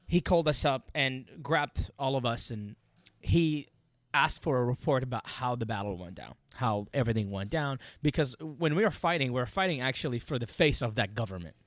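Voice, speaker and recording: Male podcast guest, 30s, Iraqi accent, native Arabic speaker. Mid-pitched, emphatic personal storytelling, very dry sound. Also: animated, normal speed, no room sound. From Iraq, Baghdad. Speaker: podcast guest, military veteran. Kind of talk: personal war storytelling in an interview.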